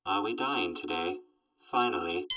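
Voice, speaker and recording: A low-pitched robot, filtered and mechanical. It speaks in stiff, formal phrases with deadpan gloom, like a weary machine in a radio comedy. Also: male voice, a little slow. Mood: gloomy deadpan. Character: gloomy, death-wishing weather-forecasting robot. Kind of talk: comedy audio drama acting.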